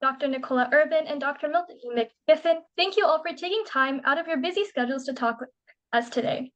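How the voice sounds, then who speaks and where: A young woman introduces the panelists with a formal, prepared delivery. Her voice is high and she talks quickly. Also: a bit bright, lo-fi sound. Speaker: student moderator.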